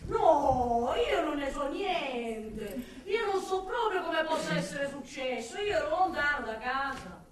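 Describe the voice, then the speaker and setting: A woman's voice: high-pitched, animated, slight background noise. An actress playing an unsettling, darkly ironic man with murderous thoughts, in a volatile theatrical radio monologue. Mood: defensive. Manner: volatile, swinging from murmurs to shouts, drawn-out words. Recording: very echoey, distant, music behind.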